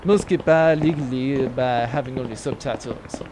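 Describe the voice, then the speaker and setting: A man's voice: mid-range voice, normal speed, heavy background noise, close to an everyday voice. A man playing an emphatic, sarcastic, nerdy conspiracy theorist in a comic space-opera audio drama. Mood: conspiratorial. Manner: emphatic, sarcastic, know-it-all. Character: scornful, nerdy male conspiracy theorist crewmate.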